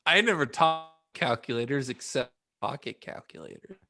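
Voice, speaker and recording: A man's voice: medium pitch, expressive, normal speed, sharp tone. A man co-hosting a podcast in casual banter, protesting and putting himself down. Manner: self-deprecating, protesting. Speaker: podcast co-host.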